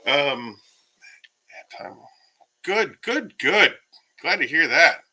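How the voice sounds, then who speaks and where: A man chats on a casual livestream, rambling through fillers and shouting out viewers. His voice is starting to go hoarse. The tone is strongly bright and pressed. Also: a little high, very animated, very slow. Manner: rambling, many fillers, greets viewers by name. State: losing his voice. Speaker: livestream host. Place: home, by himself.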